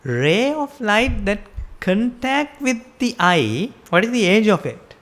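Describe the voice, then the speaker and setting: A woman's voice: medium pitch, very animated, takes it slowly. A woman with a Sri Lankan accent giving a Buddhist sermon, explaining step by step and asking her listeners rhetorical questions. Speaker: Buddhist teacher.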